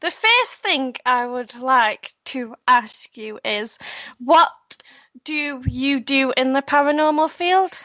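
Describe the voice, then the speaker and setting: High bright-voiced woman host. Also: expressive, slow pace, dry recording, highs cut off. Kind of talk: online radio hosting.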